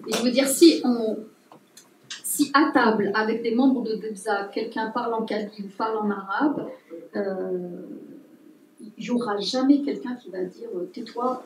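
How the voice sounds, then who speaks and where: A woman anthropologist speaking at a public talk, pausing often with fillers and restarts. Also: mid-range voice, darkish tone, a little hiss or hum. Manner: hesitant, many fillers and restarts. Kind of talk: unscripted talk to an audience. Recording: roomy distant mic with faint ambience.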